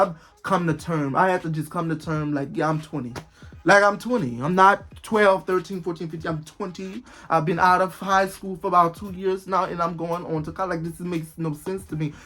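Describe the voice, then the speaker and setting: A man's voice: on the high side, fairly bright tone. A twenty-year-old man vlogging in casual talk, rambling and repeating himself, with bursts of disbelief. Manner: rambling, repetitive, exclaiming in disbelief.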